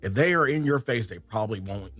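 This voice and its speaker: A man with a lively, swooping voice chats in an easygoing, reassuring way, dropping the odd swear word. Faint music sits under him. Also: on the low side, fast. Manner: laid-back, reassuring, swears casually. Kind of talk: casual podcast chat.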